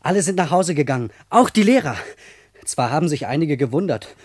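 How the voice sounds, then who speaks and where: An actor playing a small, proud schoolboy prankster in a German radio play, eager and boastful. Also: male voice, fairly high pitch, expressive, a little fast, husky, no echo, clean studio sound. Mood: excited, proud. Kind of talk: German radio drama acting.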